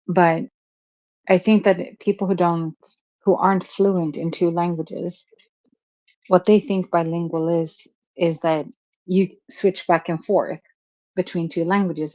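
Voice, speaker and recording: Gushing low-voiced Swedish co-host woman. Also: steady tone, slow pace, long pauses, dark tone, no echo, highs cut off. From Sweden. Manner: gushing, chatty, with hesitant fillers. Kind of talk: casual chat.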